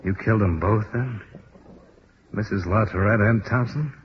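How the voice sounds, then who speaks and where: An actor playing a terse, cool insurance investigator with a clipped, dry delivery in 1950s hardboiled radio drama. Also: male voice, lowish voice, normal speed, slight background noise, lightly acted. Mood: probing, cool. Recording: dull old radio broadcast recording.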